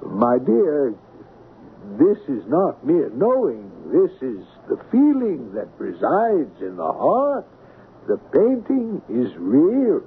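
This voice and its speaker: An actor in a 1970s radio drama playing a mannered, pompous art appraiser who speaks in balanced phrases with a rising inflection. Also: male voice, on the high side, wildly swooping pitch, slow, exaggerated voice. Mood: grandly earnest. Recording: muffled, hissy 1970s radio broadcast.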